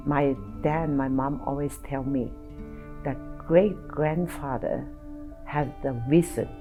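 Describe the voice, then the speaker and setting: Older woman, halting speech. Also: very deep voice. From China. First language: Toisanese. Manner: halting, searching for words. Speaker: interviewee. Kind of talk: documentary interview.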